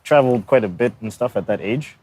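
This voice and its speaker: A man's voice: medium pitch, quick pace, a little mellow. A man from Zambia chatting casually and informally as a podcast co-host.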